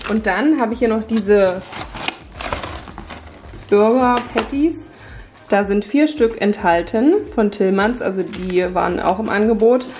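A woman's voice: mid-range voice, darkish tone. A woman in her thirties chatting casually through a family grocery haul in her kitchen, showing each item to her viewers. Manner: chatty, upbeat product run-through. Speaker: family vlogger. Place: home kitchen at night.

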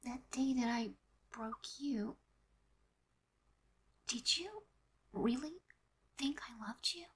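A woman playing a flustered, defensive teenage girl with a teasing streak in a fan audio drama, protesting in emphatic, halting bursts. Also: on the high side, animated, a little hiss or hum. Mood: soft, probing.

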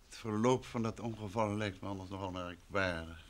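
An actor playing a composed, probing detective husband in an old Dutch radio drama, speaking with polite, measured questions. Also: male voice, deep voice, close to an everyday voice. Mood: courteous, probing.

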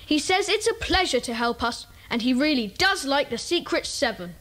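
A woman's voice: high-pitched, expressive, moderate pace, a bit bright. A woman playing an earnest, plucky schoolboy club leader in a children's audio drama. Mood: pleased.

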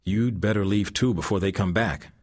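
A man doing a quiet, cautious teenage boy. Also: on the low side, normal speed, lightly acted.

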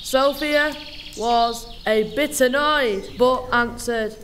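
Slow, high-voiced woman storyteller. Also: a bit bright, a little hiss or hum. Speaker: narrator. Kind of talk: scripted children's story narration.

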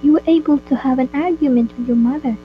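Woman as high-voiced, brazen teenage schoolgirl. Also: fast, phone-quality sound, slight background noise, lightly acted. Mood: taunting. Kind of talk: amateur radio drama acting.

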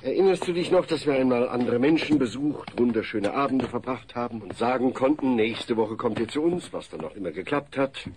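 Sitcom audio play: put-upon polite dad man acting, mid-pitched voice, slightly hissy cassette recording. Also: animated, brisk, hardly any pauses, lightly acted. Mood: resigned. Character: exasperated, polite suburban family father. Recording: noisy old cassette recording.

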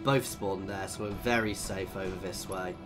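A man in his thirties commentating a strategy game, husky and tired from a cold, chatty and thinking aloud with lots of ums. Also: deep voice, steady tone, moderate pace. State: hoarse with a cold. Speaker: gaming video host. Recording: voice over game music.

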